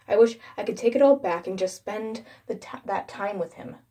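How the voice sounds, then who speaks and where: A woman's voice: mid-range voice, moderate pace, warm tone, slightly rough. A woman narrating a first-person creepypasta story as its storyteller.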